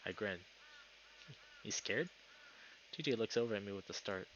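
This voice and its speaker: Young male streamer with a bright voice. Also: on the low side, fairly even intonation, racing, dull audio, a little hiss or hum. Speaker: live streamer. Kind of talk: casual game stream chat and read-aloud narration.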